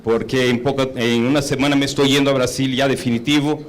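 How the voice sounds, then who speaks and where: A man's voice: medium pitch, level tone, quick pace. A middle-aged man from Brazil, a native Portuguese speaker with a Brazilian accent, giving an informal conference talk as a panel speaker. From São Paulo, Brazil. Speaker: hotel executive, panel speaker.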